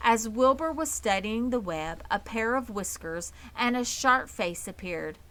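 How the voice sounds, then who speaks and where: A woman's voice: fairly high pitch, lively intonation, measured pace, fairly bright tone, low-quality recording. A woman reading a children's story aloud as scripted narration. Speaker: storybook reader.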